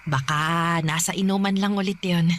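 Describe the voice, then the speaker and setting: A woman's voice: lowish voice, fairly even intonation, normal speed, fairly bright tone, slight background noise, close to an everyday voice. An actress playing an elderly, worn but protective Filipino grandmother in a Tagalog radio drama, scolding and caring by turns. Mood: soothing, worried.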